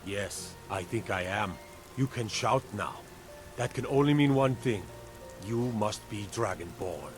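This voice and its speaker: An actor playing a blunt, awed city guard in a fantasy video game, telling of legends with emphasis. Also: male voice, on the low side, expressive, a little slow, faint music behind. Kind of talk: video game character dialogue.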